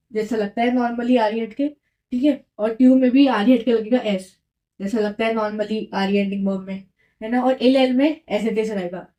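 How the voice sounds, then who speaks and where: A woman's voice: a little high, dark tone, rough recording. A young woman teaching French grammar, patiently repeating each rule and checking in with "okay" as she writes on a board. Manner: patient, repeats points with frequent "ठीक है" check-ins. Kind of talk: explaining grammar at a whiteboard.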